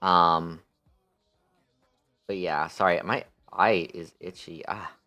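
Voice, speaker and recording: A man's voice: deep voice, fairly even intonation, slow pace, sharp tone, faint music behind. A young man livestreaming, chatting casually with his viewers in a playful, affectionate manner.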